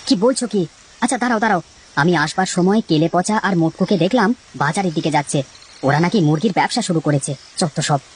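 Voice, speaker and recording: Cartoon family man, played by a woman: lowish voice, quick talk, level-headed and reassuring, faint music behind. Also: breathy edge. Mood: questioning. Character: calm, reasonable village husband with office job. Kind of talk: animated children's story dialogue.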